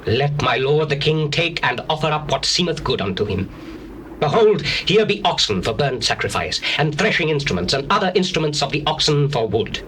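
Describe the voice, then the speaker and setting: A man's voice: fairly high pitch, sing-song, moderate pace, a bit bright. An actor playing a humble, deferential landowner addressing his king in a scripture drama, respectful and formal. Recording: faintly noisy old cassette tape.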